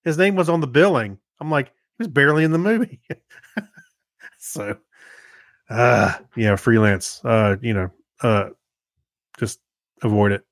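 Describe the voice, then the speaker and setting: Man in halting, casual talk, dry sound. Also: mid-range voice, no room sound. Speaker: podcast co-host. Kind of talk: casual chat, informal film review.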